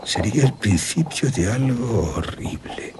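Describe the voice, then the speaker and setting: An actor playing a grave, remorseful gentleman general from Spain, recounting a horror in a courtly, deliberate radio drama style. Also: medium pitch, animated, very noisy, close to an everyday voice. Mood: anguished regret. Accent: Spanish from Spain. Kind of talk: radio drama acting, storytelling.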